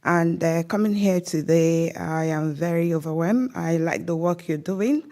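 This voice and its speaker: A woman's voice: on the low side, a little slow, warm tone. A woman introducing herself at a seminar, hesitant and full of fillers. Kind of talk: speaking in a seminar introduction round.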